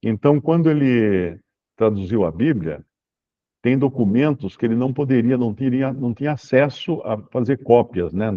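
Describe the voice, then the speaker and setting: A man, leading an informal Bible study and answering questions in a relaxed, explanatory way, slowing down to read verses aloud. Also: lowish voice, narrow, tinny audio. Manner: relaxed, explanatory, reads scripture aloud slowly.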